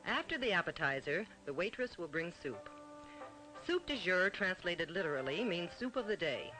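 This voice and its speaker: A man's voice: sits high, big pitch swings, moderate pace, sharp tone, rough recording. A man narrates an old instructional film in a formal, didactic way, on a vintage film soundtrack. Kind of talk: mid-century instructional film narration, scripted. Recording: old 1950s-60s film soundtrack with music.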